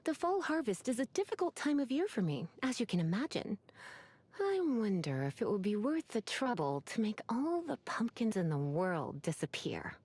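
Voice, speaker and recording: A woman's voice: medium pitch, big pitch swings, moderate pace, a little mellow, husky. A woman voicing a sly, eerie, witchy young woman in a video game, theatrical and teasing. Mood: scheming whisper. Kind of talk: video game character voice lines.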